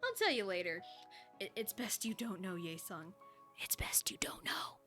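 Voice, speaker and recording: A woman's voice: mid-range voice, big pitch swings, normal speed, pushed and bright. A woman streamer reading a story aloud in a playful, giggly way, with casual asides. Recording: music behind the voice.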